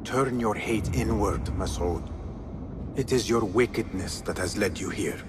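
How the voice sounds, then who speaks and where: Man playing a grave, slow-spoken young assassin. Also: lowish voice, background noise, natural voice. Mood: grim, accusing. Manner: grave, deliberate, accusing. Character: brooding young male assassin, righteous and grave. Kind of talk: dramatic video game acting.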